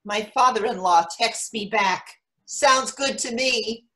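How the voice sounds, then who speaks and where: Carefree mother played by a woman, fairly high voice, cheeky and dry staged monologue. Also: slow, pushed and bright, heavily acted. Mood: playful. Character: cheeky, carefree mother enjoying her freedom.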